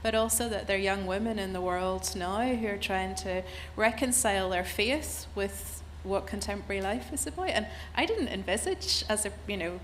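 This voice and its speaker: A woman from Northern Ireland talks as a novelist on a literary festival panel. Her accent is Northern Irish, and she speaks in a chatty, enthusiastic way. Her pitch sits in the ordinary middle. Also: a bit bright, slight background noise.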